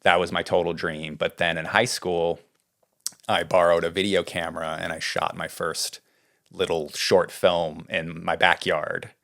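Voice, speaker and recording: A middle-aged man from California, a writing coach being interviewed, talking in a relaxed, rambling way. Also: sits low, unhurried. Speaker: guest, script doctor and writing coach. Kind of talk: casual interview talk.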